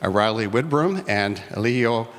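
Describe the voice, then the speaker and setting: Mid-pitched man, chatty moderator, in a reverberant hall with faint noise. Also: lively intonation, moderate pace, a bit bright. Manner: chatty, joking, many restarts. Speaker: workshop moderator. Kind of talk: moderating a live community workshop. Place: community hall, live event.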